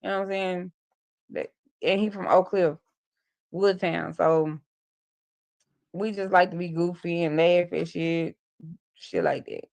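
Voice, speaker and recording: A woman's voice: on the low side, fairly even intonation, measured pace, vocal fry, long pauses, darkish tone. A woman in her forties hosting a casual livestream, telling rambling, profane stories to her viewers. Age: 40s. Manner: loose, profane, rambling storytelling. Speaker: livestream host. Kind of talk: casual live chat.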